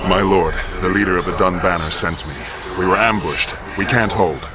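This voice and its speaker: A man's voice: deep voice, moderate pace, close to an everyday voice. An actor playing an urgent, deferential soldier reporting to his lord in a video game. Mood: urgent plea. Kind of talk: dramatic video game acting. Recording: noisy battlefield ambience.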